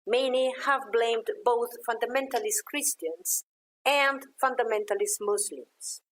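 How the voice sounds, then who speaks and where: A woman acting as an earnest field reporter in an audio drama. Her voice sits fairly high, and she speaks with formal, composed broadcast delivery. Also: unhurried, fairly bright tone, a little hiss or hum, poor audio. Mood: serious, formal.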